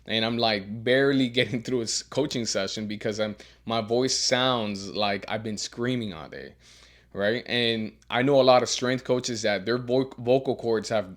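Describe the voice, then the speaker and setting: A man who coaches strength and teaches as a professor, giving earnest career advice with a deeper voice and frequent repeated words as he restarts. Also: normal speed. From Miami. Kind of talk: advice monologue.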